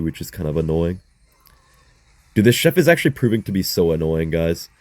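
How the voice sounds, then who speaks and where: A young man with a hoarse voice giving chatty, excitable live commentary on a mobile game as he plays, talking to his viewers. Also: low-pitched, no echo. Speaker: gaming content creator.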